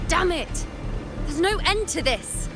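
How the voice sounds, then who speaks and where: A woman's voice: extremely high pitch, lively intonation, slow, heavily acted. An actress playing a frustrated young woman defender in a game cutscene, speaking tensely. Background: game score music.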